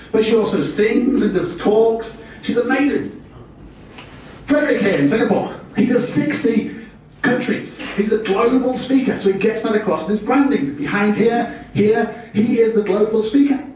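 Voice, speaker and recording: A British man with a fairly high voice gives a business talk full of wry, self-deprecating jokes. He is picked up from a distance, so his voice rings through a heavily reverberant function room with faint noise underneath. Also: normal speed, darkish tone. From United Kingdom. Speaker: presenter. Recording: very echoey room, distant mic.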